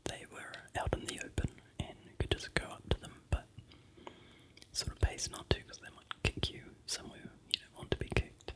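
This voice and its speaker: A vlogger from New Zealand with a New Zealand accent, softly narrating a travel slideshow in a steady, unhurried whisper. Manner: soft, unhurried whisper throughout.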